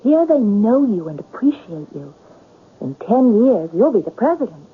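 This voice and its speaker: A woman's voice: a little high, very animated, moderate pace, slightly rough, exaggerated voice. An actress playing a tender, hopeful young woman in a 1970s radio drama, romantic and theatrical in manner. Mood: gently insistent. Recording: muffled, narrow-band vintage radio broadcast.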